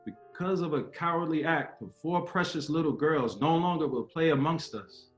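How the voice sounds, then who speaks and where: A man's voice: a little high, normal speed, lightly acted. An actor playing an earnest baseball great, father and civil rights speaker in a dramatic table read, with oratorical delivery. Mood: solemn oratory. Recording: roomy echo with music behind. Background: music.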